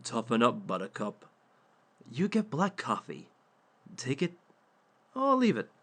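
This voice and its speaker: A man's voice: medium pitch, huge pitch swings, fairly bright tone, full character voice. A man playing a smug male villain who hosts a dating workshop, speaking in a dry, teasing way to an unseen audience. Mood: wry. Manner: dry, teasing, unhurried, talks to unseen listeners. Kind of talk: audio roleplay monologue.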